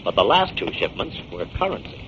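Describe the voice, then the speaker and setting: A confident detective from a 1940s radio serial. He explains things with assurance and a very animated, swinging pitch. The old recording is dull, with faint noise. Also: a little fast. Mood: explaining. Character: confident male detective explaining a solved case. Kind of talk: 1940s radio drama acting.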